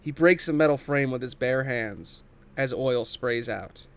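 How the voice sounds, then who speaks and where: A man reads scripted stage directions as the narrator of a table read. His voice sits at a middle pitch, and he describes scenes and action in the present tense. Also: unhurried, fairly bright tone, clear, resonant tone.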